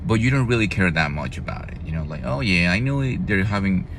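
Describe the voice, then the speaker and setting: A man's voice: low-pitched, expressive, brisk, creaky. A man from Venezuela with a Venezuelan Spanish accent, talking heatedly in a casual political monologue, with fillers, restarts and swearing. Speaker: vlogger. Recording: loud traffic noise outdoors.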